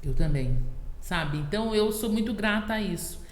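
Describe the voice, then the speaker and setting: A woman's voice: mid-range voice, lively intonation, normal speed. A Brazilian woman guest on a podcast, a health worker, talking earnestly and often checking in with "sabe?". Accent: Brazilian Portuguese. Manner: earnest, keeps asking "sabe?". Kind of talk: casual interview chat. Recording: echoey room with long reverb.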